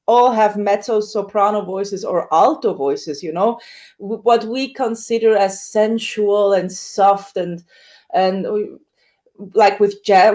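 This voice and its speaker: A woman, a soprano singer, telling her story in a casual interview with lively acted-out quotes. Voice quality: high dramatic coloratura soprano voice.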